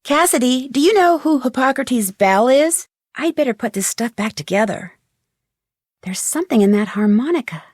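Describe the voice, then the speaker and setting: A woman's voice: high-pitched, expressive, normal speed, darkish tone, dry recording, clean studio sound. A woman playing a curious, plucky young amateur detective in a video game, articulate and inquisitive as she thinks things through. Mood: focused, musing. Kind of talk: scripted video game voice acting.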